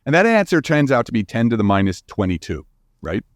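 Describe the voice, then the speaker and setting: A man's voice: mid-range voice, very animated, brisk, no echo, studio quality. A man speaking as an expert guest in an interview, explaining in a casual, emphatic, informal way and often checking in with "right?". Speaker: guest expert, astrophysicist and author.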